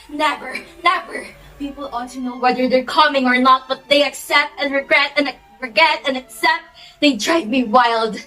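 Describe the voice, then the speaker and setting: A woman's voice: sits high, bright, forceful tone, rough recording. A teenage girl playing an imperious, easily exasperated society hostess in an amateur play, with a haughty, melodramatic delivery. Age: teens. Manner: melodramatic, haughty, repetitive exclamations. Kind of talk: amateur stage-play acting.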